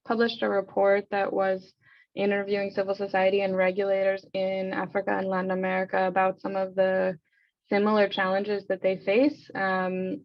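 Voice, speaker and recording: A woman casually moderating an online discussion, informal, with frequent hesitant pauses, on a lo-fi call. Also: medium pitch, completely flat delivery. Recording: dull, lo-fi online call audio.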